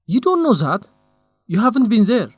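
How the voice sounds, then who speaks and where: A man's voice: high voice, big pitch swings, moderate pace, slightly rough, dry recording, soft background music, heavily acted. An actor playing a young male researcher in a radio drama, lovesick and stubborn, speaking in an earnest, defensive way.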